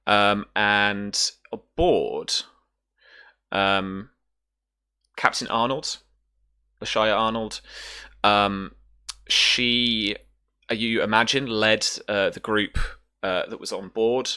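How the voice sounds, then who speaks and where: A game master narrating a sci-fi tabletop roleplaying game in short, hesitant phrases with deliberate pauses. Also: mid-range voice, bright, forceful tone, clean tone.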